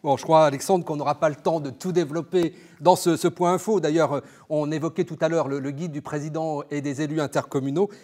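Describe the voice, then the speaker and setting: A man hosting an informational interview, phrasing his questions haltingly and repeating words as he goes. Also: fairly high pitch, steady tone, a little mellow. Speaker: interviewer and host of an information segment. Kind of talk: interview on local government finance.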